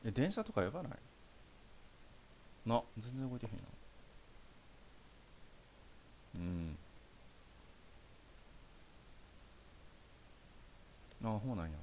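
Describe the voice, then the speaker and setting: A man's voice: fairly low pitch, sing-song, fairly quick, darkish tone, a little hiss or hum. A young man with a Kansai Japanese accent playing a caring boyfriend in a naturalistic phone-call audio drama, chatting casually and hesitantly. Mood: concerned, casual. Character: caring young boyfriend reassuring his anxious girlfriend.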